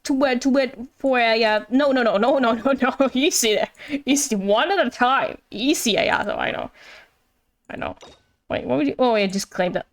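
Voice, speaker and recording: A woman's voice: fairly high pitch, normal speed. A woman chatting casually on a game livestream, rambling and thinking aloud in a playful, giggly, self-deprecating way.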